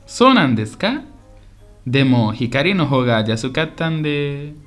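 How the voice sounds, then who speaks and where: A man playing a polite, eager tourist from Spain in a scripted language-lesson radio drama, speaking in simple, clear sentences. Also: medium pitch, sing-song, unhurried, faint music behind. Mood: polite, friendly. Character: polite, eager Spanish tourist visiting Osaka.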